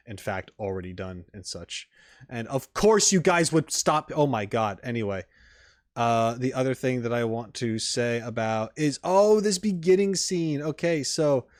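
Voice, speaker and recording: A man giving casual, off-the-cuff commentary as a reaction streamer, rambling through his thoughts with many asides. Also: medium pitch. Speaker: reaction video host and streamer.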